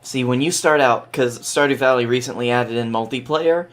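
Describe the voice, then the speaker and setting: A man's voice: mid-range voice, normal speed. A man as a podcast guest, chatting casually and explaining video games earnestly, thinking aloud as he goes.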